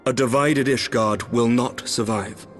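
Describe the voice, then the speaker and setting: A man playing a grave, idealistic knight commander in a fantasy game, with deliberate, formal oratory. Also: mid-range voice, steady tone, fairly bright tone, soft background music, close to an everyday voice. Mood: grave, foreboding.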